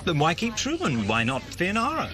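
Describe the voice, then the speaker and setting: A man playing a guarded, sardonic time-travelling adventurer in an audio drama, speaking in a dry, wry, measured manner. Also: sits high, wildly swooping pitch, music in the background. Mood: wry, defensive.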